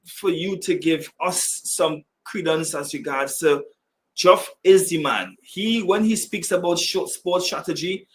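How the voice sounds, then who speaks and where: A man from Trinidad and Tobago hosting a sports podcast, formal and earnest, slowing down for key words. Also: on the high side, a bit bright, a little hiss or hum. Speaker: podcast host. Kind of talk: podcast interview.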